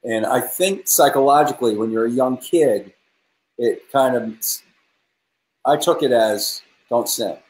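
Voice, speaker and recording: A man's voice: medium pitch, unhurried. New guy in his 40s, earnest musician, echoey room on a webcam. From New England. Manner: earnest, animated storytelling. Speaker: guest musician. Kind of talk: casual video-call interview. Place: home room with guitars, indoors. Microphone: video call webcam. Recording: roomy webcam audio.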